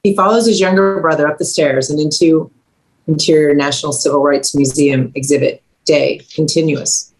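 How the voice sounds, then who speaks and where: A woman's voice: deep voice, steady tone, moderate pace, a little mellow, poor audio. A woman narrating scripted stage directions at a table read.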